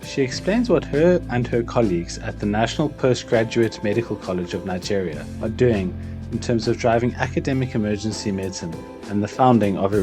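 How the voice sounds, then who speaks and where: A man hosting a podcast, giving a measured, formal introduction and then asking interview questions. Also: lowish voice. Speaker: podcast host. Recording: intro music behind the voice. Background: music.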